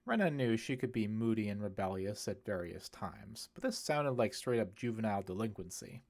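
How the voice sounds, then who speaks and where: A man's voice: on the low side, animated, normal speed, darkish tone. A man reading scripted fiction as an audiobook narrator, telling the story in prose.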